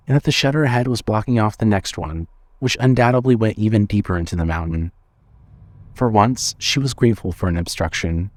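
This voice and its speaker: A man narrating a scripted story with measured, suspenseful storytelling. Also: mid-range voice, no room sound.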